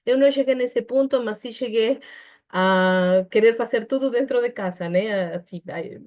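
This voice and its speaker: Mellow Peruvian woman speaking reflectively. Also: fairly high pitch, moderate pace. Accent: Peruvian Spanish. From Peru. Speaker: psychologist and panel speaker. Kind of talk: personal testimony in an online panel discussion.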